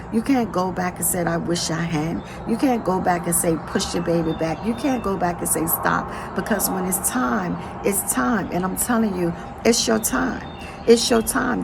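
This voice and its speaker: A hoarse woman gives a faith pep talk from inside a vehicle, her voice gravelly and strained. She keeps checking in with "right?" between phrases. Road noise hums clearly behind her. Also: medium pitch. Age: adult with children. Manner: preachy, repeats "right?" after phrases. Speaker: motivational speaker.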